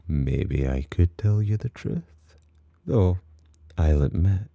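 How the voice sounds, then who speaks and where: Man voicing a very deep, husky teasing demon. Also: dark tone, dry recording, exaggerated voice. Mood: coy, teasing. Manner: smug, drawling, theatrically teasing. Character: teasing, possessive male demon sheltering a human. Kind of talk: ASMR roleplay, slow intimate character acting.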